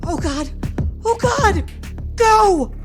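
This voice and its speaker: Female actor as terrified survivor wife, horror drama; very slow, emotional delivery with wildly swinging pitch. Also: expressive, heavily acted. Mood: panicked screaming. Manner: panicked, emotional, drawn-out. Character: frightened wife fighting to survive. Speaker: actress in an audio horror drama. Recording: music under the voice. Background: music.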